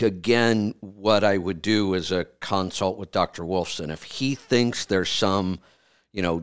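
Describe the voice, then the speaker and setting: A man with a low, smooth voice hosts a radio health show from a dry, close studio mic. He talks firmly and directly, repeating his points to steer the caller. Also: no echo. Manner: firm, direct, repeats himself. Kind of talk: advising a caller on air.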